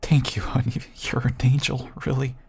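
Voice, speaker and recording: A man playing a gentle, apologetic, seemingly cured vampire husband in an audio roleplay, pleading tenderly in a soft, breathy, stammering voice. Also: medium pitch, monotone, normal speed. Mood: dazed, apologetic. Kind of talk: intimate audio roleplay acting.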